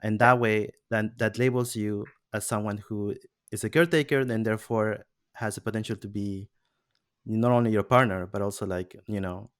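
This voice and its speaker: A man's voice: on the low side, fairly even intonation, moderate pace, clean tone, dry recording, studio quality. A man from Peru hosting a casual podcast discussion, thoughtful and hesitant, with frequent restarts. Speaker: podcast host.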